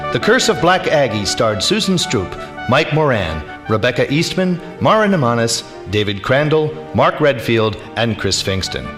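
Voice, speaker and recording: Announcer: man, theatrical, measured credits read, varied pitch, music underneath. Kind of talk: formal scripted credits announcement. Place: live theatre stage.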